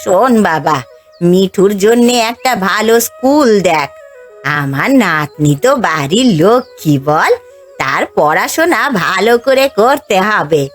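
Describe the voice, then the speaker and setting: A woman's voice: medium pitch, animated, takes it slowly, soft background music. A woman playing a domineering older mother-in-law in a cartoon family tale, imperious and reproachful, partial to her favourites. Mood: doting, proud. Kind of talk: Bengali cartoon fairy-tale voice acting.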